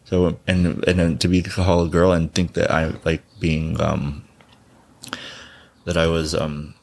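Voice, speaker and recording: A man with a very low voice and plenty of gravelly fry speaks as an interview guest. He comes from Southern California and tells his story bluntly, with casual profanity. He laughs often as he talks. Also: monotone, a little slow, a little mellow. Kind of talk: candid personal storytelling.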